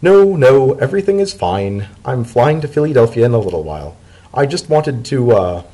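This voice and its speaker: A man voicing an anxious, affectionate male pony in a story reading, speaking in a hesitant, earnest and excitable way. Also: medium pitch, normal speed, voice pushed up. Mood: placating, awkward.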